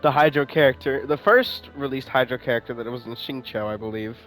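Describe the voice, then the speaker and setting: A man streaming a game, giving casual, slangy and excitable commentary. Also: medium pitch, rough recording. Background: faint game music.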